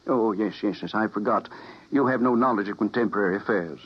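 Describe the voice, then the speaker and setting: An actor playing a calmly menacing male official in a 1950s radio drama, with a husky voice. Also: lowish voice, brisk. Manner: smooth, condescending. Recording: dull vintage radio broadcast.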